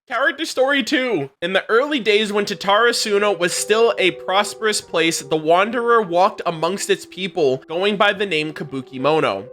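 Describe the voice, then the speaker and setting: A man's voice: a little high, bright, forceful tone. A man hosting a reaction video, reading game lore aloud like a dramatic storyteller with casual asides. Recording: music behind the voice. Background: plucked guitar music.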